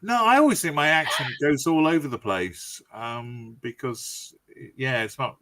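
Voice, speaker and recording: A woman's voice: extremely low pitch, a little slow, a bit bright. A woman in her fifties from the UK chatting casually with dry, teasing humour. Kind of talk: casual chat.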